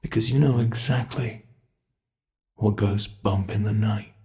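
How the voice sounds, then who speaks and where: A man playing a sadistic, gloating monster from under the bed in an ASMR horror roleplay, taunting and savoring every word with a gravelly voice. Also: fairly low pitch, fairly even intonation, measured pace, darkish tone. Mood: menacing, mocking.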